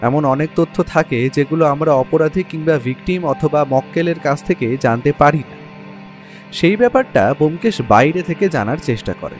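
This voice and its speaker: Man acting as detective's companion, first-person narrator, somewhat high-pitched, curious, watchful, audio story, music behind. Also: expressive, normal speed, close to an everyday voice. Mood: reflective.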